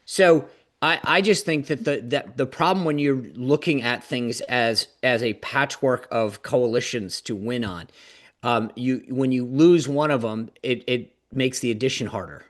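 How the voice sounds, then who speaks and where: A man's voice: mid-range voice, unhurried. A man on a political panel show, talking earnestly and restarting his phrases often. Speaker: political commentator. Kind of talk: panel discussion.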